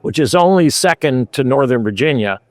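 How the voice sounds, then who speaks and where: Man, interviewer, chatty, mid pitch, dry close sound. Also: lively intonation, normal speed, no echo. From Scotland. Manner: chatty, conversational, hesitant fillers. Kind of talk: trade show interview. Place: trade show floor.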